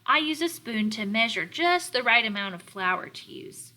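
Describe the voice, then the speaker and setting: A woman teacher leading a read-aloud lesson for young children, explaining words in a deliberate, instructional way. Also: on the high side, lively intonation, sharp tone. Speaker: elementary teacher.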